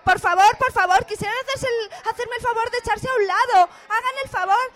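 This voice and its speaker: A woman acts an excitable on-the-scene radio reporter in a comic drama. She has an extremely high voice and a flustered, exclaiming, stammering delivery that keeps repeating itself. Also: brisk, a little hiss or hum. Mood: frantic. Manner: breathless exclamations, stammering, exasperated repetition. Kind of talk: radio theatre parody of live news report.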